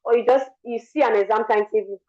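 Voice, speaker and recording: A young woman with a worn, hoarse voice, giving an earnest motivational talk over an online call. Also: fairly high pitch, fairly even intonation, quick pace, darkish tone, muffled recording. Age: young adult. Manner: earnest, repeats words while speaking. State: lost her voice. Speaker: guest speaker.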